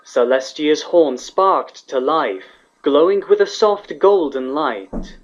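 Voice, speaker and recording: A man's voice: on the high side, slow, highs cut off, slight background noise. A man reading scripted fantasy fiction aloud as the narrator of a story.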